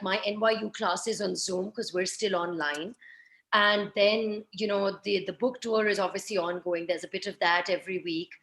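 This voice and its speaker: A woman's voice: mid-range voice, a bit bright, low-quality recording. A woman from Pakistan in her thirties, speaking in a thoughtful, reflective way in a conversational interview. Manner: thoughtful, reflective, with many "you know" fillers. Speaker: author and guest.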